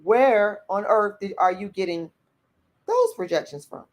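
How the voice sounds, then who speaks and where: A woman's voice: mid-range voice, wildly swooping pitch, unhurried. A woman hosts a livestream, reading viewer comments and answering them bluntly and profanely, repeating herself often. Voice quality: raspy voice. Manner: outspoken.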